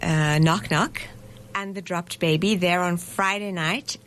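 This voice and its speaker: A woman's voice: on the low side, fairly even intonation, a little slow, a bit bright, a little hiss or hum. A middle-aged woman, a festival director, speaking thoughtfully in a radio studio interview, with frequent fillers and restarts. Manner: thoughtful, hesitant with fillers and restarts, laughs easily.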